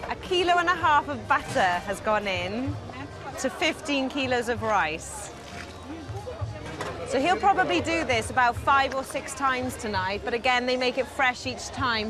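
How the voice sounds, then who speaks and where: A woman in her thirties presenting a TV documentary, talking with bright enthusiasm. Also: high-pitched, animated, normal speed. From Wales. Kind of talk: presenting and interviewing. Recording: music under the voice.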